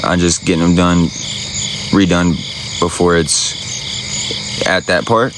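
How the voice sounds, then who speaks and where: A man's voice: low-pitched, flat delivery, takes it slowly, noisy background. A man chatting casually on a livestream, laid-back and rambling, answering viewers in slangy everyday talk. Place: outdoors at night by a campsite. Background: crickets and night insects.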